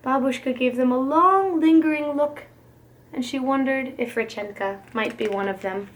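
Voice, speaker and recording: Higher-voiced woman reading a storybook. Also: moderate pace. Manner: dramatic, careful storytelling. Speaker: preschool teacher reading a picture book. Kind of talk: expressive storybook narration for young children.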